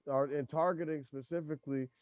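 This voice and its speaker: A man's voice: a little high, steady tone, brisk, no room sound, dull audio. Casual, profane male podcast host. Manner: loose, profane, talks in restarts.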